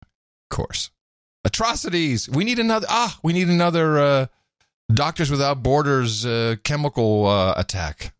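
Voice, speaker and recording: A man's voice: on the high side, animated, normal speed, smooth, dry recording, clean studio sound. A man co-hosting a podcast in casual chat, wry and joking, often laughing. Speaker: podcast co-host.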